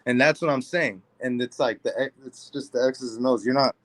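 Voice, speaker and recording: Male podcast co-host, mid pitch, relaxed rambling talker, dry sound. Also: a little fast, no room sound. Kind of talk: casual chat.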